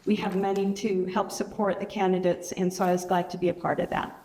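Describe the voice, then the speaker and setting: امرأة بصوت رتيب من بعيد في قاعة مرتدة. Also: female voice, on the low side, flat delivery, a little fast. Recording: distant, echoey, faint noise.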